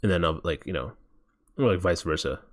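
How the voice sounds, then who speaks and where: A man's voice: sits low, lively intonation, fairly quick. A man hosting a movie watch party stream, chatting casually and reading viewer messages aloud. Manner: rambling, reads viewer chat aloud.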